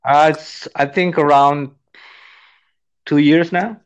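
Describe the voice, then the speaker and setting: Indonesian-accented man, halting speech. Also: on the high side, steady tone, muffled recording. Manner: halting, many fillers and restarts. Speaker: business trainer. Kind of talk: casual conversation.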